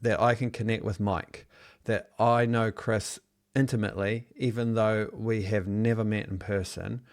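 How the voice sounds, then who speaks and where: A man from New Zealand leading a live online workshop, upbeat and encouraging as he reads out and answers chat replies, heard over a video call. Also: fairly low pitch. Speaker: online business trainer. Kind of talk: live Zoom workshop teaching.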